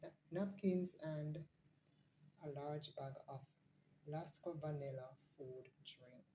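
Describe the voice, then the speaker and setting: Low, slow-talking Jamaican woman. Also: dark tone, slight background noise, low-quality recording. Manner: listing items one by one, unhurried. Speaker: vlogger. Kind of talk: casual grocery haul narration.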